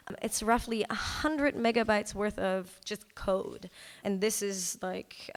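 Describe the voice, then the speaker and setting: Female presenter, conversational talk delivery, informal asides, long hall reverb. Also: mid-range voice. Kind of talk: conference talk presenting a storytelling project.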